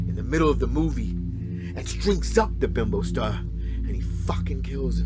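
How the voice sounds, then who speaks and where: High-voiced, anxious, brooding husband. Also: male voice. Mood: uneasy, brooding. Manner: halting, confessional. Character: troubled, wounded, anxious estranged husband, brooding and self-pitying. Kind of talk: audio play acting.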